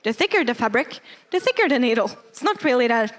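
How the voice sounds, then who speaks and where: A young woman who is not a native English speaker, giving a casual cosplay workshop, often pausing and searching for words. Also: on the high side, big pitch swings. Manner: hesitant, many fillers, searching for words.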